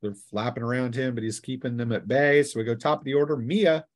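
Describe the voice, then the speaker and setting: A man's voice: medium pitch, fairly even intonation, brisk. Man game master running a tabletop session. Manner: playful, chuckling, acts out sound effects and shouts. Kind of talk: running a tabletop role-playing session, casual narration and dice rulings.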